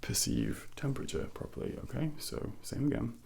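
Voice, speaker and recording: A man speaks in a soft, breathy, near-whispered voice full of heavy creak. He plays an ASMR doctor, gently guiding a patient through an exam with unhurried, reassuring instructions. His pitch moves around freely, and there is faint noise behind him. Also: wildly swooping pitch. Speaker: ASMR performer role-playing a doctor. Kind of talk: soft-spoken ASMR medical exam role-play.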